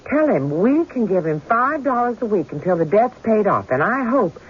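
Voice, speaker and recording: An actress playing a sharp-tongued, loyal frontier wife in an old-time radio drama, brisk and no-nonsense. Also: female voice, medium pitch, big pitch swings, slightly rough. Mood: scolding. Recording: dull, lightly noisy radio recording.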